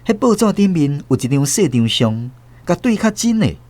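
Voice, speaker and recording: A man with a Taiwanese accent narrating a scripted story in a theatrical, expressive way. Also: a little high, moderate pace, no room sound.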